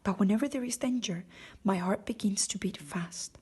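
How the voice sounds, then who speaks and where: A woman's voice: mid-range voice, normal speed, a little mellow, slightly rough. A woman voicing a big cowardly male lion from a storybook, sad, ashamed and plaintive as he confesses. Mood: sad, self-pitying. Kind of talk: storybook character voice.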